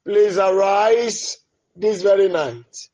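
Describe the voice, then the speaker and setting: A man leading an impassioned, pleading prayer, swinging between loud cries and low murmurs. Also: slow, rough recording. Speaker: prayer leader. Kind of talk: fervent spoken prayer.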